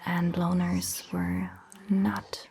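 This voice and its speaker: A woman performing a soft-spoken ASMR roleplay as a caring vampire doctor, whispering breathily in a gentle, reassuring manner. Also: lowish voice, completely flat delivery, vocal fry, a little hiss or hum. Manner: gentle, reassuring, slow and deliberate.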